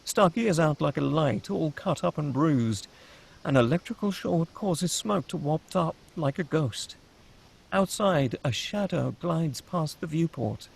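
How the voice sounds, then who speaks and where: A man narrates a screenplay with dramatic delivery, reading out scene headings and action. His voice sits slightly high for a man, with a gravelly creak and a husky edge. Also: expressive, measured pace. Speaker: narrator reading stage directions.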